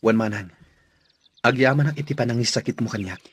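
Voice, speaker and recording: A man's voice: mid-range voice, fairly quick, breathy edge, no echo, natural voice. A man playing an earnest, respectful young fighter in a radio drama, speaking with deference. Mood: respectful, grateful.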